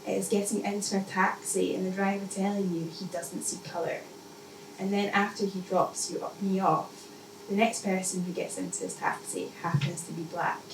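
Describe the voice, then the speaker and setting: Female poet, measured live reading with deliberate repetition, recorded from far off with background noise. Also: mid-range voice, level tone. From Scotland. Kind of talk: spoken-word poetry reading. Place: indoor live poetry event. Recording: echoey, noisy room, mic distant.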